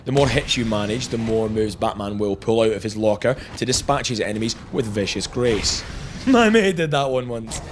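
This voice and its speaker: A man's voice: fairly low pitch, expressive, normal speed, a little hiss or hum. A man narrating a scripted video game review with jokey, chuckling asides.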